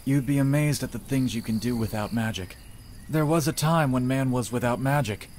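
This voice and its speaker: A man's voice: mid-range voice, moderate pace, darkish tone, a little hiss or hum, close to an everyday voice. A man playing a dry, matter-of-fact, well-travelled village inventor in a visual novel. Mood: proud, musing. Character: dry, worldly village man, self-taught showman inventor.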